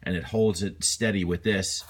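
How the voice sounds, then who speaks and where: A man's voice: low-pitched, fairly even intonation, moderate pace. A man presenting a casual, unscripted repair walkthrough in a rambling, self-deprecating, colloquial way. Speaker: hobbyist presenter.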